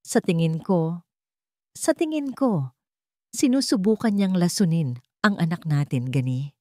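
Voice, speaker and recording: A woman voicing a manipulative schemer who feigns tearful fear, pleading with pauses and repeats in a story. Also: lowish voice, expressive, a little mellow, dry recording, studio quality. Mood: poisonous whispering.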